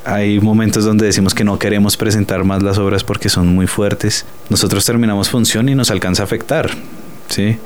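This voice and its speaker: A man's voice: on the low side, steady tone, a little fast, a little hiss or hum. A Colombian man, a theatre director, speaking spontaneously and earnestly in an interview, often adding short tag questions.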